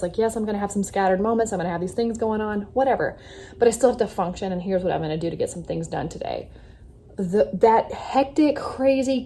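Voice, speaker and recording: A woman's voice: mid-range voice, dark tone, slight background noise. A young adult woman vlogger talking casually to camera. She reflects on her life and speaks in earnest self-affirmations.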